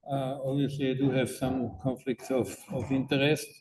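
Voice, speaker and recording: A man with an Austrian accent giving a conference talk, speaking haltingly with many fillers and restarts. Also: mid-range voice, little pitch movement, a crowd in the background. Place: conference hall. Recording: distant mic with faint noise.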